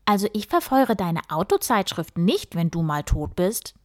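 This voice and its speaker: Woman voicing young storybook boy, earnest, cautious, hushed, plain delivery. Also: medium pitch, measured pace, gravelly, breathy edge, no room sound, studio quality, heavily acted. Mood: stern. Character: young boy, stern and cautious, bookish-cave builder.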